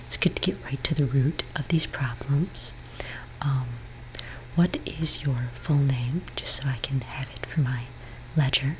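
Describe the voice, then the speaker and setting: A woman speaking in a breathy whisper for a soft-spoken ASMR roleplay, playing a gentle, hesitant therapist for witches. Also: rumbling low, slight background noise, rough recording. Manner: gentle, hesitant, halting counsel.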